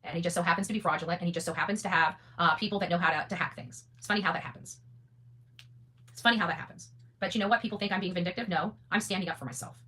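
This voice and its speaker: Very fast, bright, blunt woman. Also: lowish voice, poor audio. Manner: blunt, defiant, swearing; emphatic repetition of phrases. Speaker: online content creator and host. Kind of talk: unscripted opinionated monologue to an audience.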